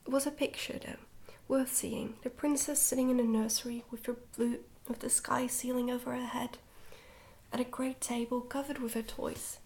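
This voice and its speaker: A woman reads a story aloud for ASMR. Her voice is whispered and breathy, sitting slightly high. She tells the tale gently and carefully, keeping everything soft and hushed. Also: fairly even intonation, moderate pace. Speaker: ASMR book reader.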